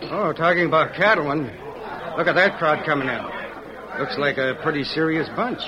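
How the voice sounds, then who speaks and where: Man acting a higher-voiced, jovial Western businessman. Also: normal speed, natural voice. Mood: curious. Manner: jovial, bantering. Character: chummy businessman partner back from the East. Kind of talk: old-time radio western acting. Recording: dull old radio recording, crowd behind.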